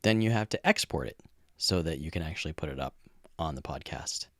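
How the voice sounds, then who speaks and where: A man with a low voice chats casually as a podcast co-host. He explains things in an informal way, recorded dry and close in a blanket-padded room at home. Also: lively intonation, fairly quick, fairly bright tone, dry recording.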